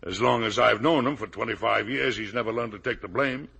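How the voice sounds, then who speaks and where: A man's voice: lowish voice, brisk, creaky, bright, forceful tone, breathy edge, full character voice. An actor with an American accent playing a genial, blustering older businessman and father in a 1950 radio drama. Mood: insistent.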